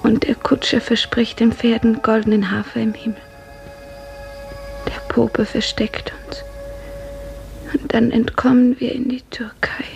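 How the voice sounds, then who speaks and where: A woman playing a dreamy, grief-numbed young serf in a 1950s radio drama, hushed, breathy and mournful. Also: fairly high pitch, flat delivery, unhurried, pauses often, muffled recording, music in the background. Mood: dreamy devotion. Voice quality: breathy, half-whispered.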